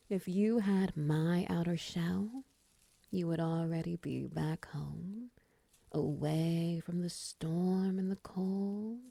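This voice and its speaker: A woman playing a friendly, teasing half-spider monster in an intimate audio roleplay, soft-spoken, breathy and often close to a whisper. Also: lowish voice, no echo, full character voice. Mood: playful, teasing. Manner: gentle, teasing, slow murmurs with soft chuckles.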